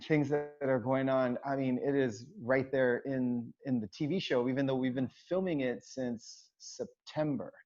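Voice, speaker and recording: A man's voice: medium pitch, fairly even intonation, a little slow, hardly any pauses, a little mellow. A man from the United States, raised in Hawaii, a choreographer and dancer chatting casually as an interview guest, rambling with many fillers and restarts. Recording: dull lo-fi sound.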